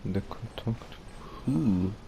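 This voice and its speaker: Male gaming host, lowish pitch, unhurried, playful muttered asides, casual commentary, clearly noisy background. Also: lively intonation. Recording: noisy home gameplay recording.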